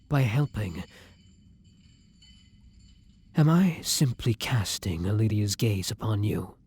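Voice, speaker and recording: Man as a hushed, breathy, tormented vampire. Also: lowish voice, exaggerated voice. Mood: anxious, tender. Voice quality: breathy, hushed, dropping into whispers. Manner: slow, halting, dramatic pauses. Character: tormented, gentle male vampire torn between hunger and compassion. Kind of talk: intimate ASMR roleplay monologue.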